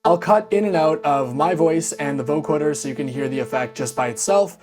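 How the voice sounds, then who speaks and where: A man's voice: on the high side, fairly quick. An enthusiastic, chatty man hosting a casual synth walkthrough, speaking close into a studio condenser mic. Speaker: host of synth demo channel.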